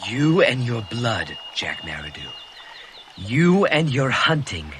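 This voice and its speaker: Frustrated boy leader, exasperated and accusing, slow-paced. Man reads him. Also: mid-range voice, very animated, fairly bright tone, slight background noise, pitched below the performer's own voice. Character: frustrated, desperate boy chief.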